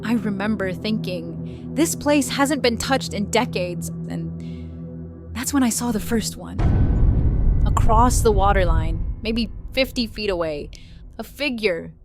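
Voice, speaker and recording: A woman playing a haunted wildlife photographer, narrating a first-person ghost story with suspenseful, deliberate pauses. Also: medium pitch, lively intonation, music in the background. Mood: eerie unease, then dread, suspense. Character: haunted young woman wildlife photographer recounting a terrifying encounter.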